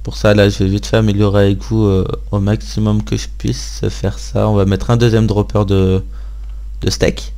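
A man's voice: lowish voice, little pitch movement, moderate pace, mellow. A man hosting a gaming video, giving casual gameplay commentary and thinking aloud in an informal way.

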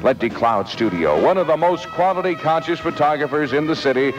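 A man's voice: fairly high pitch, lively intonation, a little fast. A man reading a scripted local commercial as an announcer on 1970s radio. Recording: dull AM radio with music.

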